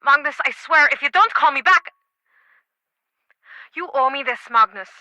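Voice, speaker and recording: Heartbroken small town lady in a mystery game, high voice, really dry close recording, emotional. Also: animated, normal speed, sharp tone, no room sound, full character voice, rough recording. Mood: angry, pleading. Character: guarded, heartbroken townswoman, bitter ex-girlfriend in mystery game. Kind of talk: dramatic video game voice acting.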